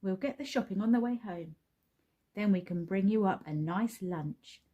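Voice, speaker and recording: A woman's voice: medium pitch, moderate pace, warm tone, dull audio, natural voice. A woman voicing a jolly, bossy father who commands his children around the house. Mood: cheerful. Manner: hearty, commanding.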